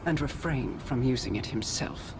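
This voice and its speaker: An actor playing a calm, formal, wary commander in a video game cutscene, with measured, deliberate phrasing. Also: medium pitch, over loud music, lightly acted, poor audio. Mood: grave, measured.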